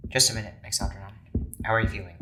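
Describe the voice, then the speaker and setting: An actor playing a stiff, formal scientist in an audio drama, speaking in precise, clipped phrases. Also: male voice, lowish voice, steady tone, very fast, noisy background, natural voice. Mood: formal concern. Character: stiff, formal scientist doctor running experiments.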